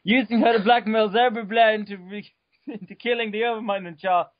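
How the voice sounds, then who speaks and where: Guy doing a fake dramatic reading, fast, stumbles over names and goes blah blah, kinda muffled dry lo-fi mic. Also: sits high, fairly even intonation, dry recording. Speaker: reader. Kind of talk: mock-dramatic reading of a wiki article.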